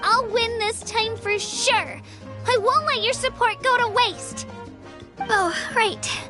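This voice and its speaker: A woman's voice: very high voice, slow, pushed and bright, full character voice. A woman voicing an earnest, emotional young girl adventurer, determined but easily moved, in a video game. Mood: grateful, determined. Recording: music behind the voice. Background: music.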